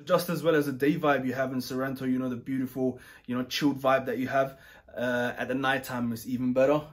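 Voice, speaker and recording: A man chatting casually about a trip, rambling and relaxed with many fillers and restarts. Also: medium pitch, a little fast. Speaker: travel vlogger. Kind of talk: casual chat.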